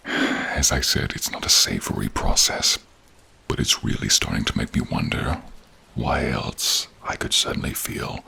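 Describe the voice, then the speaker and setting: A solemn, caring dragon, speaks in a cavernously low register full of rough rumble. He is slow and deliberate, addressing the listener formally in a fantasy audio roleplay. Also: bright, forceful tone, full character voice. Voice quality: very deep, gravelly, husky, breathy. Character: old wise protective male dragon, grave and caring. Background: rain and cave ambience.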